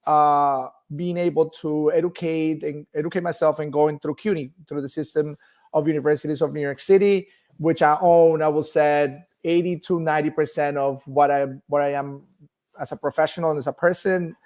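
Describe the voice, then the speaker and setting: Higher-voiced man with a Colombian accent. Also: flat delivery, moderate pace, a little mellow. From Bogota, Colombia. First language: Spanish. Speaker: guest, nonprofit executive. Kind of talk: casual interview, telling own story.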